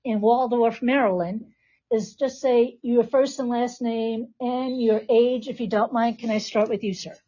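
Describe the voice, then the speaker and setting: A woman's voice: fairly high pitch, steady tone, a little slow, mellow, lo-fi sound. A woman hosting a live podcast and teaching a class, speaking with encouraging, reassuring prompts. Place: classroom with about twenty people.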